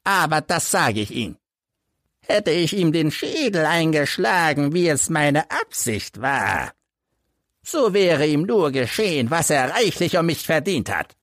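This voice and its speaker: A man's voice: a little high, very animated, unhurried, fairly bright tone, dry recording, studio quality. Man acting out a bitter, crippled old soldier. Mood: bitter, defiant. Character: embittered hunchbacked old ex-soldier telling his life story.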